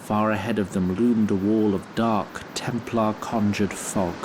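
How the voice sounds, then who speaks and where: A man's voice: fairly low pitch, fairly even intonation, measured pace, noisy background, close to an everyday voice. A man playing a fussy, courtly wood sprite who narrates a fantasy audio drama in formal, theatrical language. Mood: grave storytelling.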